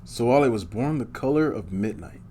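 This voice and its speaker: Male storybook reader, medium pitch, superhero guest for kids, talks to the listeners, faint ambient noise. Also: animated, brisk, warm tone. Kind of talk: read-aloud storytelling with asides to children.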